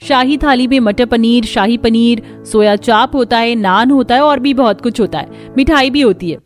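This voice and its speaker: A woman's voice: on the high side, normal speed, soft background music, lightly acted. A woman voicing an eager, caring young sister-in-law in a cartoon story, speaking in an insistent, supportive way. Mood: cheerful. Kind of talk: Hindi cartoon story dialogue.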